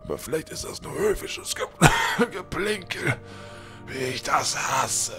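A man's voice: a little high, expressive, takes it slowly, vocal fry, bright, forceful tone, faint music behind, full character voice. A man playing an irritable, commanding, scornful old lord in a fantasy audio drama. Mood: grumbling. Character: grumpy, imperious old lord of the house. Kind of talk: fan-made fantasy audio drama acting.

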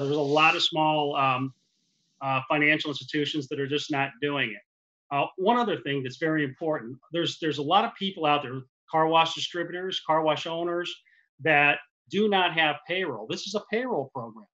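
A man's voice: on the high side, moderate pace, pushed and bright, highs cut off. A man in his forties giving a webinar talk as a lender, explaining at length with frequent restarts, speaking through a headset mic on a video call. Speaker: commercial lender. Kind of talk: webinar presentation. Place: office with printed backdrop. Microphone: headset boom mic on a video call.

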